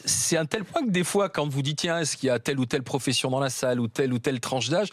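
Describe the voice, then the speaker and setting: A man's voice: medium pitch, no room sound. A man hosting a radio talk show interview who often halts and restarts his questions. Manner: hesitant, halting restarts. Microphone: studio microphone.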